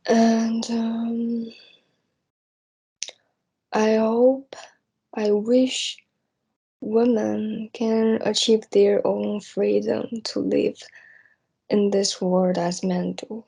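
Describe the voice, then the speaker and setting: Slow, hesitant young woman with creaky voice. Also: medium pitch, little pitch movement, warm tone, low-quality recording. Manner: hesitant, halting, searching for words. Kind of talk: unscripted spoken reflection on personal hopes.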